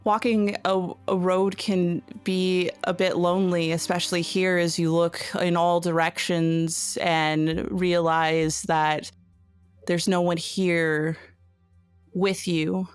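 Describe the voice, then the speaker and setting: A woman game master narrating a tabletop story in a halting, thoughtful way, with atmospheric phrasing and questions to the player. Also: on the low side, flat delivery, clean tone. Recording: music under the voice. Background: background music.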